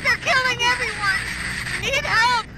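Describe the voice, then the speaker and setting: Panicked female sheriff, extremely high strained pleading, game radio distress call with heavy noise. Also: normal speed. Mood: panicked, desperate. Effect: radio distress transmission. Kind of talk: video game voice acting. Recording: noisy radio message, loud background ambience.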